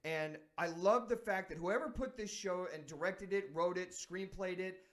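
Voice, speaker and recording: A man's voice: high-pitched, normal speed. Earnest man on a sports show. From San Diego, United States. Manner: earnest, thinking aloud with restarts. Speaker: sports talk show host. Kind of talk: reflective casual talk.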